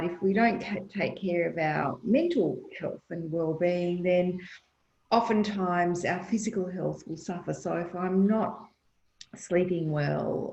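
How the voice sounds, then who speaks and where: Woman panelist on a video call, kinda low voice, roomy echo, thoughtful and a bit halting. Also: gravelly, dark tone. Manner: earnest, thoughtful, many fillers and restarts. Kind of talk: panel discussion answer. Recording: echoey, dull lo-fi video call.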